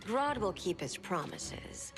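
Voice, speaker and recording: An actress playing a cool, seductive, vengeful eco-villainess in a video game, haughty and cutting. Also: female voice, medium pitch, big pitch swings, slow, music in the background. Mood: scornful.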